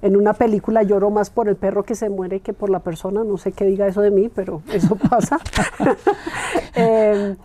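A woman in her forties with a mellow, warm voice answers interview questions in a bright indoor room. She talks in a thoughtful, unhurried way and laughs easily. She is recorded from a camera set back from her. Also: medium pitch, steady tone. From Colombia. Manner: thoughtful, gestures while talking, laughs easily. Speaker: interviewed guest, club member. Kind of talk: relaxed conversational interview.

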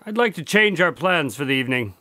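A somber doctor who narrates cases. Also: male voice, a little high, lively intonation, fairly quick, bright, forceful tone, no echo, clean studio sound. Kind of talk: audio drama acting.

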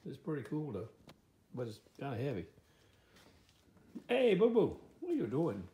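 A man's voice: mid-range voice, wildly swooping pitch, normal speed, mellow. An older man with an American accent gives a casual, rambling walk-through in a folksy, plain-spoken way, as a vlogger filming on his phone. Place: inside a house under renovation, later outdoors. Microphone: handheld phone. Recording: echoey rooms, background noise, handheld.

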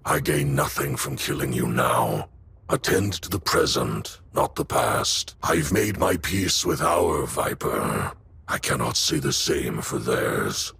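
An actor voicing a brooding, menacing shadow assassin in a video game, gravelly, slow and solemn. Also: male voice, expressive, a bit bright, slight background noise, full character voice. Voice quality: very deep, gravelly. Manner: slow, ominous, deliberate.

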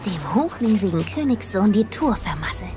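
A woman's voice: mid-range voice, sing-song, normal speed, over music, natural voice. A woman playing a spirited, plucky young girl in a fairy-tale audio drama. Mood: defiant. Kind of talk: German audio drama acting.

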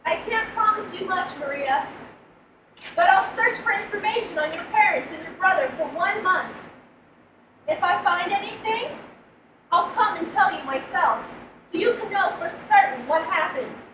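A woman reading a story aloud, voicing a gentle, earnest woman translator who speaks carefully to a child. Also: extremely high pitch, animated, moderate pace, pitched above the performer's own voice. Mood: reassuring. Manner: careful, explanatory. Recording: roomy, distant mic, background noise.

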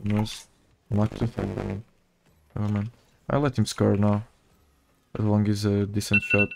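A man with a Portuguese accent, a native Portuguese speaker, giving casual gameplay commentary in low-key asides to himself. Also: level tone, slow pace, gravelly, background noise. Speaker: gaming video creator.

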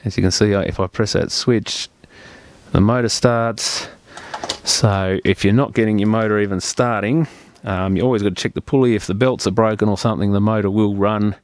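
A man's voice: on the low side, steady tone, normal speed. A man presenting an unscripted hands-on repair tutorial as a technician, explaining each step in a plain-spoken, practical way.

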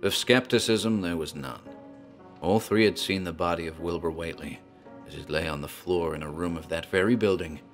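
Deep-voiced guy telling a creepy tale like a tavern keeper, dramatic, faint music under it. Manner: theatrical, storytelling. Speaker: narrator, landlord figure. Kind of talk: dramatic horror narration.

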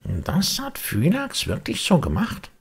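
Wooden puppet boy, storybook, high strained voice, plaintive, naive. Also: huge pitch swings, slow, creaky, husky, full character voice. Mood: guarded.

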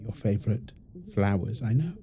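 A man playing a doting, playful young husband and proud father in a wholesome audio roleplay, soft and affectionately teasing. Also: on the low side, moderate pace, a crowd in the background, natural voice.

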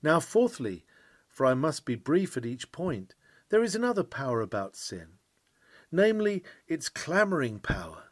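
A man's voice: on the high side, very animated, moderate pace, no room sound. A man reading an old-fashioned sermon in an earnest, emphatic preaching style. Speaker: reader of a historical sermon.

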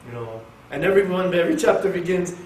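A man from Oklahoma giving an informal Quran class to an audience in a mosque, talking in a jokey, animated way and turning to people in the room. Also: high voice, quick pace. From Oklahoma, USA. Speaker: Quran teacher. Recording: echoey room, distant mic, faint hiss.

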